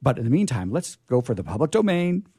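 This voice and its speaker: A man's voice: mid-range voice, big pitch swings, quick pace, mellow, breathy edge, dry recording. Male show host, chatty and florid, swooping intonation, recorded dry. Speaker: host introducing readings. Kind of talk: conversational radio show hosting.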